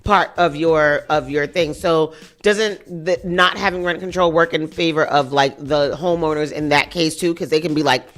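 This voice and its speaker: Low-voiced woman radio host. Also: moderate pace, fairly bright tone. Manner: chatty, reads news aloud with asides. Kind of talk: morning radio chat and news reading.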